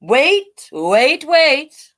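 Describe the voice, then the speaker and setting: A woman voicing excitable bees in a children's storybook, begging with drawn-out words. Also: high voice, voice pushed up, exaggerated voice. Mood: pleading. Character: excitable, pleading bees keen to be bridesmaids. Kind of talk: children's storybook read-aloud.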